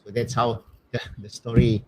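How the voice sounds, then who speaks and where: A middle-aged priest from Malaysia with a Malaysian accent, telling personal stories casually and haltingly, often repeating words. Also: male voice, mid-range voice, level tone, poor audio. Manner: hesitant, repeats words, tags with "you know". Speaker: missionary priest being interviewed.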